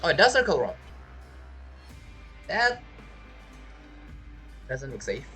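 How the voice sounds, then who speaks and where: Animated, bright-voiced male game streamer. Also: on the high side, slow pace, faint music behind. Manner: thinking aloud, reacting to the story. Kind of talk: casual gameplay commentary.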